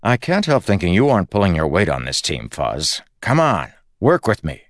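Audiobook rogue, read by a male narrator: cocky, determined crew boss, brisk and insistent, wry edge, very dry recording. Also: low voice, wildly swooping pitch, no room sound. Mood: insistent, teasing. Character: cocky, determined, irreverent dead man, crew leader.

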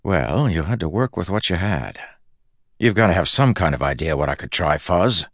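Audiobook rogue, read by a male narrator: cocky, determined crew boss, brisk and insistent, wry edge, very dry recording. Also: sits low, lively intonation, no room sound. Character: cocky, determined, irreverent dead man, crew leader.

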